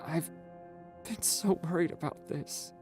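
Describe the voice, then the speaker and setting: A man voicing a gentle, worried transgender teenage girl, soft and hesitant, in a story reading. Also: fairly high pitch, unhurried, soft background music, pitched above the performer's own voice, lightly acted. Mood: tearful.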